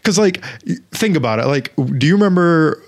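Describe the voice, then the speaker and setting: A man's voice: a little high, animated, normal speed. A man in his twenties co-hosting a podcast, talking in a laid-back, loose way and swearing casually. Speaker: podcast co-host. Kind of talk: casual chat.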